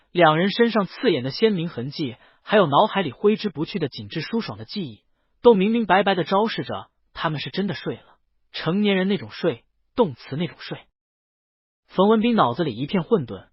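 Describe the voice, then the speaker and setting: A man's voice: high-pitched, husky, no room sound, highs cut off. A man narrating a scripted story as an audiobook reader.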